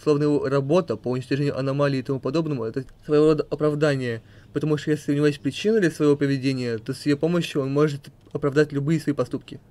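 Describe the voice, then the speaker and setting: A man's voice: fairly high pitch, steady tone, very fast, few pauses, a little mellow. A man reading questions and explanations aloud as narrator of an amateur comic dub.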